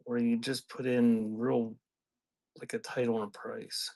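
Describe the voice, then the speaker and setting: Man, creaky voice, mid pitch. Live-sale host, loose unscripted chat, mutters while busy. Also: flat delivery, measured pace, a little mellow. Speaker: live auction seller, host. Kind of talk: casual off-the-cuff livestream chat.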